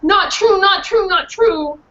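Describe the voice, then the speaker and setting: A woman's voice: very high voice, slow pace, dull audio, very noisy, pitched above the performer's own voice. Woman doing a frantic little dog. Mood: insistent. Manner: urgent, says each phrase three times. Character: frantic small male dog, anxious and repetitive.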